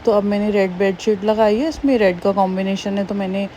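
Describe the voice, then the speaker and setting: Woman in her thirties, a bit hoarse, chatty and homely, casual daily vlog narration. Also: mid-range voice, flat delivery, a little fast, mellow, a little hiss or hum. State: hoarse, slightly sore throat. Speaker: vlogger.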